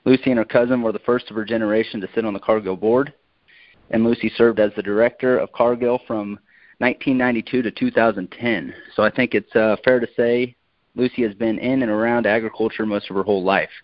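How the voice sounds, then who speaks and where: A man's voice: fairly low pitch, fairly even intonation, a little fast, no echo. A man hosting a podcast interview in a casual way, recorded over a remote call. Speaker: podcast host. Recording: dull, lo-fi call audio.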